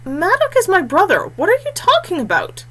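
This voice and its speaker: A woman's voice: extremely high pitch, wildly swooping pitch, moderate pace, a bit bright, pitched above the performer's own voice, full character voice. A woman voicing an earnest young male warrior heir, forthright and fiery, in a fantasy audiobook. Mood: indignant.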